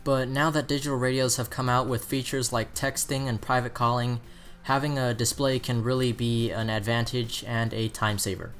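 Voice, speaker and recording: A man's voice: medium pitch, flat delivery, normal speed, a bit bright, faint music behind. A man presenting an explanatory buying guide in the style of a product review narration.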